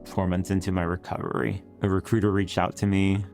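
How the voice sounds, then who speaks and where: A man from El Salvador telling his personal story in a measured, reflective way. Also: low-pitched, flat delivery. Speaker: research interviewee. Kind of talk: personal testimony. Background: music.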